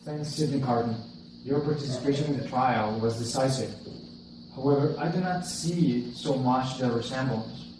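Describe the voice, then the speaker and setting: A man playing a courteous, reserved gentleman in a scripted amateur dramatization of a classic novel. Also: mid-range voice, moderate pace, natural voice. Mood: polite, reserved. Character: courteous gentleman and his cool, blunt rival. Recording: very echoey, distant, noisy room.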